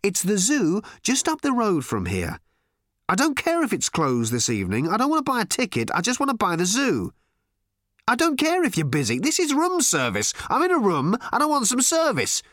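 A man voicing a brash, cocky male alien who gives loud, brisk orders. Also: high-pitched, wildly swooping pitch, dry recording, studio quality, pitched above the performer's own voice, heavily acted. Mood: bossy.

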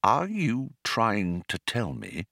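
A man plays a stunned, incredulous ordinary Earthman in an audiobook. He speaks haltingly and plaintively, with pitch that leaps about, in a very dry close recording. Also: huge pitch swings, a bit bright, no room sound. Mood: strained control. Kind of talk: audiobook character voice.

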